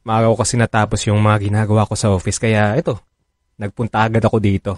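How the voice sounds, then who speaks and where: An actor with a Filipino accent playing a doting, reassuring boyfriend in a radio drama, casual and soothing. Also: male voice, on the low side, flat delivery, fast talker, dry recording, clean studio sound, natural voice.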